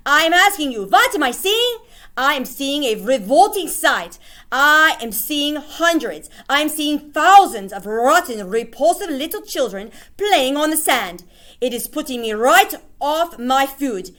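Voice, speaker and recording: A woman voicing a tyrannical female witch leader who screams and rants her orders in a shrill voice. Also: huge pitch swings, measured pace, hardly any pauses, fairly bright tone, heavily acted. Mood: ranting. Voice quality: shrill, screaming, spitting out words. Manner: ranting, commanding, hateful of children. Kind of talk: audiobook character voice.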